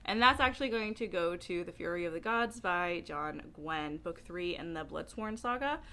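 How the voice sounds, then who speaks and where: A woman talking casually to camera as a book vlogger, with an animated, emphatic way of speaking. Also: lowish voice, normal speed.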